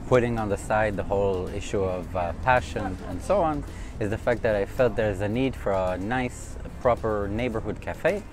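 Low-voiced man telling stories outdoors. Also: moderate pace, background noise. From Israel. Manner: fluent, relaxed storytelling with frequent uh fillers. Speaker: cafe owner. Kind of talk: casual interview to camera. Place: city street outdoors.

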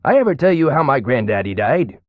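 A man's voice: mid-range voice, very animated, brisk, no room sound, highs cut off, natural voice. An actor playing a folksy, chatty old man in his nineties with an American accent, rambling through stories in a video game. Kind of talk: video game character acting.

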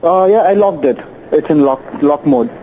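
A man who does not speak English natively, working as a store clerk and answering the phone in a hesitant, halting way with many fillers. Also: fairly high pitch, animated, dark tone, a little hiss or hum, low-quality recording. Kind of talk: phone call.

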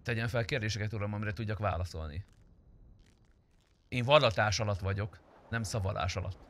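A man playing a cocky low-voiced suspect. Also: a little hiss or hum, close to an everyday voice. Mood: argumentative. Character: cocky, evasive male suspect under police questioning. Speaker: online roleplay game player. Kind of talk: improvised police roleplay.

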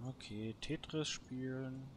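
A man's voice: mid-range voice, slow pace. Man muttering game commentary over noisy ambience. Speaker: gaming video host. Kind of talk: casual solo let's play commentary.

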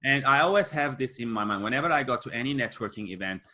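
A man in his thirties hosting a video interview from home, giving brief affirmations and a calm sign-off. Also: mid-range voice, quick pace. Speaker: career coach host. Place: room at home with paintings.

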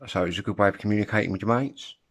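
Low-voiced middle-aged English man. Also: racing, breathy edge. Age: 50s. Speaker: CB radio hobbyist vlogger. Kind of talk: casual rambling vlog chat. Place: room at home. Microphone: phone at arm's length.

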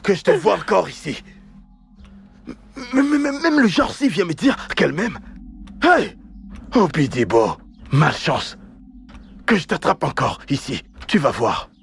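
An actor dubbing a boastful, scornful young man who shouts insults. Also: medium pitch, very animated, measured pace, gravelly, slight background noise. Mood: contemptuous, shouting.

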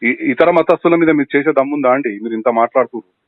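A middle-aged man with a Telangana Telugu accent rattles along extremely fast over a narrow phone line. He is confrontational, pressing accusatory questions insistently. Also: medium pitch, no echo. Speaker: caller from an activist organisation. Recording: phone line call recording.

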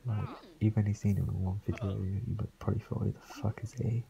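A young man, slightly croaky, rambling casually as he comments on his gameplay. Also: lowish voice, level tone, brisk, a crowd in the background. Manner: rambling, chatty, frequent fillers. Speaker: let's play host.

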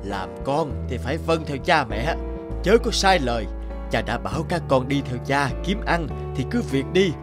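A man plays an old cartoon father, commanding and deliberate, with a stern streak over real kindness. His mid-pitched voice sits over a prominent music bed. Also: expressive, natural voice. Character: stern old father, testing and finally kind. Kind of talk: Vietnamese animated folk tale dialogue.

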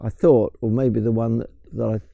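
A man's voice: mid-range voice, flat delivery, normal speed, gravelly, dark tone, no room sound. An older man with an English accent, casually explaining wild plants and mushrooms while walking through woods, with some hesitant restarts. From England. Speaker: mushroom forager guide. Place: woodland outdoors.

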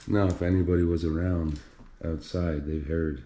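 A man filming himself as he explores a flooded basement in an abandoned house, talking in a low, hushed voice while crouching and climbing over clutter. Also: steady tone, normal speed, slight background noise. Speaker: urban explorer filming a tour. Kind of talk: hushed exploration vlog narration.

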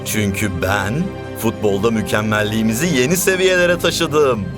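A man's voice: on the low side, normal speed, over music, lightly acted. A man playing a vain, gloating villain coach in a cartoon, pompous, mocking and theatrical.

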